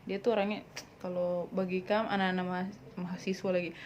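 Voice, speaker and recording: A woman's voice: on the low side, flat delivery, fairly quick, a little mellow, dull audio, slight background noise. Blunt young Papuan woman vlogging. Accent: Papuan Indonesian. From Papua, Indonesia. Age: 20s. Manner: blunt, teasing, mixes in English words. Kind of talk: casual Q&A vlog.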